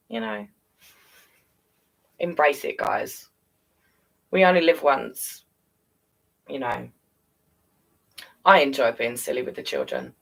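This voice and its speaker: A woman's voice: lowish voice, gravelly, recorded from a distance. A British woman hosting a live storytelling stream, chatting casually and affectionately with her viewers and encouraging them. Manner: affectionate, encouraging, calls listeners darling and guys.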